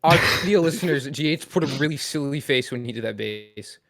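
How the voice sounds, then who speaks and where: A man's voice: on the high side, expressive, brisk, creaky, a bit bright, dry recording. A man co-hosting a podcast, bantering casually in a slangy, jokey way. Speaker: podcast co-host.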